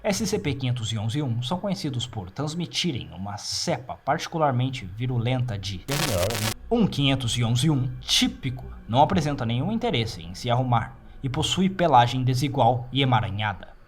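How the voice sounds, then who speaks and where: A man with a Brazilian accent narrating a scripted fictional report in a measured, formal, clinical manner. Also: mid-range voice, very animated, a little hiss or hum. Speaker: narrator of horror fiction files.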